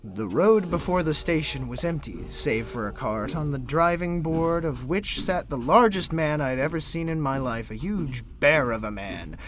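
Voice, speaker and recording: An actor playing a prim, easily flustered young gentleman who narrates his own story theatrically, polite and incredulous. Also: a little high, animated, moderate pace, hardly any pauses, a little hiss or hum, close to an everyday voice. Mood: narrating, wry. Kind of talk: theatrical first-person narration and dialogue.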